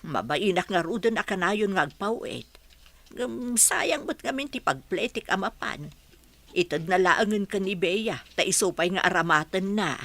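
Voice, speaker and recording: An actress with an Ilocano accent playing a worried, nagging middle-aged wife in a radio drama, scolding and fretful. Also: female voice, mid-range voice, animated, moderate pace, fairly bright tone. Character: worried, nagging wife of an ailing working man.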